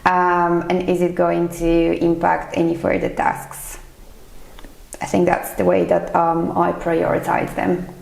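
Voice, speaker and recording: A woman's voice: on the low side, completely flat delivery, unhurried, vocal fry, darkish tone, roomy sound, low-quality recording. A woman from Bulgaria in her thirties, a finance professional, answering interview questions in a thoughtful, hesitant way with many fillers. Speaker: chief financial accountant, interview guest. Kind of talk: casual podcast interview.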